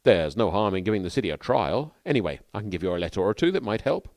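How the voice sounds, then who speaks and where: A man's voice: fairly low pitch, very animated, fast, a little mellow, dry recording. A man voicing a melancholy young New York newspaperman who talks in a wordy, dryly ironic way. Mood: cautious, dry.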